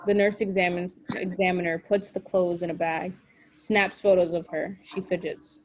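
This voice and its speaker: A woman reading scripted stage directions at a table read in an even, matter-of-fact way. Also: lowish voice, moderate pace, low-quality recording.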